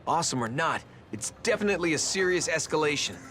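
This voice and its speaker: Lively, earnest turtle hero. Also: male voice, fairly high pitch, fast talker. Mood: stern. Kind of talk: video game cartoon voice acting.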